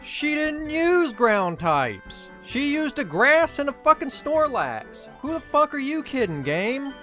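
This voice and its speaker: A young man hosting a let's play with casual commentary, his voice a little off from allergies, who swears and rants in sudden excited bursts. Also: extremely high pitch, slow pace, faint music behind. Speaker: gaming video host.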